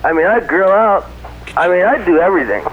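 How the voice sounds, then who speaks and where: A man's voice: high-pitched, lively intonation, brisk. A man calling in to a radio show, chatting casually and rambling with lots of fillers. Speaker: caller. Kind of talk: casual call-in chat. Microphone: phone line. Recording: dull lo-fi phone line with faint noise.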